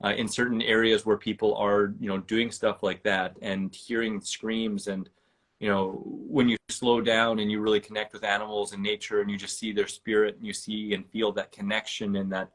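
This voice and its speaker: A man's voice: lowish voice, level tone, moderate pace, a bit bright. A man hosting an interview, talking in an earnest, rambling and enthusiastic way. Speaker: podcast host. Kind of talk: casual interview chat.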